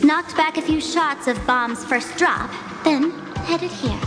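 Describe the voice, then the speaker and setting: A high-voiced, sly trickster girl. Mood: wry storytelling. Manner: brisk, commanding, playful. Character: sly, bossy, mischievous young trickster woman. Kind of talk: video game character acting. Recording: echoey hall with loud game music.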